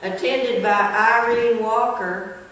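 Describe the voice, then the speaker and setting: A woman's voice: a little high, fairly even intonation, takes it slowly, fairly bright tone. A woman reading a written local history aloud. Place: large echoing indoor room, recorded from a distance. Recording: very echoey hall, distant mic, faint noise.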